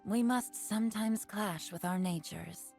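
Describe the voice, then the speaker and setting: A woman's voice: medium pitch, a little slow, creaky, darkish tone, faint music behind. An actress playing a sly, graceful fox spirit woman, coolly confident, in a video game.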